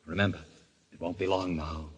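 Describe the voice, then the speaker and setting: An actor in a vintage radio drama playing a cruel, scheming husband, controlling and falsely soothing. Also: male voice, low voice, level tone, fairly quick, lightly acted. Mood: furtive, reassuring. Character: scheming cruel husband, smooth and menacingly patronising. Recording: noisy vintage radio recording.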